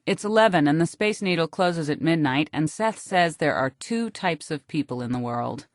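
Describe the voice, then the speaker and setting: A woman with an American accent reads a literary first-person audiobook in a wry, deadpan manner, close on the microphone. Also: deep voice, moderate pace, no room sound. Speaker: audiobook narrator.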